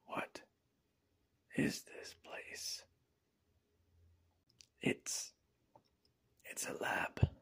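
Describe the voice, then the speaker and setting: A man playing a frightened, weakened captive reporter in a whispered ASMR horror drama, halting and melodramatic, with a breathy, raspy voice. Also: fairly bright tone. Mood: dazed, pained. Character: frightened, captured male reporter, weakened and in pain.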